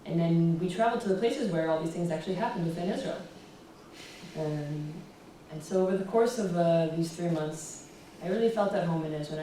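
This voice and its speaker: A young American woman giving a relaxed public talk with slides, often pausing with "um". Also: fairly low pitch. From United States. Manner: relaxed, fills pauses with ums. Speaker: guest speaker. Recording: roomy echo, distant, faint hiss.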